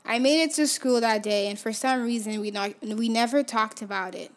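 A woman in her twenties, a native Haitian Creole speaker from Haiti, hosting a podcast and telling her life story in a reflective way, often letting her phrases trail off quietly. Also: normal speed. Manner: reflective, often drops to a soft low trail at phrase ends. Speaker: podcast host. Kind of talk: personal storytelling.

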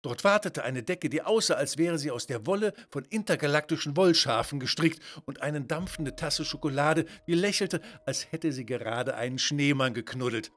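A man narrating a children's audio story from a script in a playful, expressive, theatrical way. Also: on the high side, normal speed, hardly any pauses.

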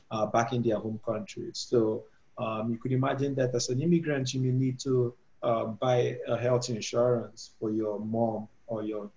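A man's voice: medium pitch, darkish tone, roomy sound, dull audio. A man in his twenties from Nigeria, a guest on an interview podcast, speaking hesitantly with many ums and restarts. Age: 20s. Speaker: guest, physics PhD student and startup co-founder.

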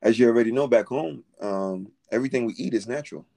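A man's voice: mid-range voice, fast, creaky. A Haitian-born man hosting a podcast, chatting casually with his guest in an affirming, friendly way. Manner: warm, affirming, calls guest darling. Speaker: podcast host. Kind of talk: casual interview chat.

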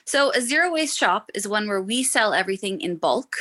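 A woman's voice: mid-range voice, lively intonation, a little fast, pushed and bright. A Canadian woman in her thirties, a shop owner, friendly and explanatory with frequent ums as a podcast guest. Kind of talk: podcast interview.

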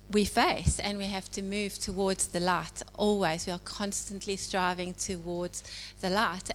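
A South African woman in her forties giving an informal church message, talking in a conversational way with many pauses and ums. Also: on the low side. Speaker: church speaker. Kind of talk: informal sermon. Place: church hall. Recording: hall reverb with faint hum.

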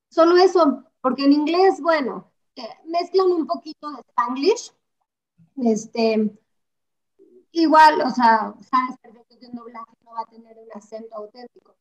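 A woman's voice: high voice, a little slow. A woman from Mexico in a casual film chat, talking chattily and hedging with fillers. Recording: slightly dull, lo-fi sound.

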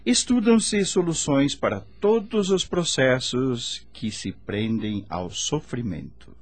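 An actor with a Brazilian accent playing a kindly, earnest spirit guide in a radio drama, explaining things in a measured, reverent way. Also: male voice, medium pitch, animated, dry recording. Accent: Brazilian Portuguese. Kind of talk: Brazilian radio drama acting, didactic and declamatory. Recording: radio drama with music bed. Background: faint music.

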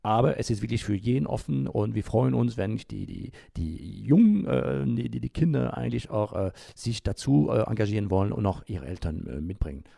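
A man giving unscripted interview answers, haltingly, often pausing and searching for his words. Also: fairly low pitch, lively intonation, gravelly, dark tone, no room sound, studio quality. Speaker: interview guest, environmental group founder.

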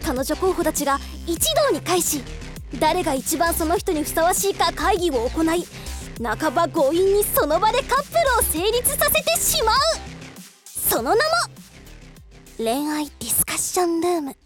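A woman's voice: very high voice, sing-song, moderate pace, faint music behind. A woman playing a breezy, officious government moderator, announcing politely and theatrically in a radio drama. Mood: grand narration. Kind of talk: radio drama narration and acting.

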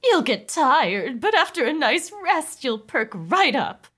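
A woman's voice: high voice, big pitch swings, slow pace, a bit bright. A woman reading stage directions in an amused way as the narrator of a comedic script table read.

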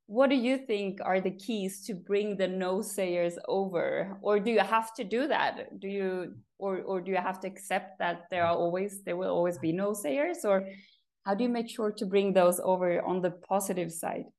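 A woman's voice: medium pitch, normal speed, darkish tone. A woman hosting an interview podcast, asking questions and encouraging her guest with frequent yeahs. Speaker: podcast host.

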